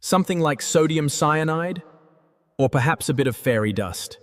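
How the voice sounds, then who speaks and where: A man narrating a scripted second-person story in a deadpan manner. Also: on the high side, moderate pace, no echo, clean studio sound.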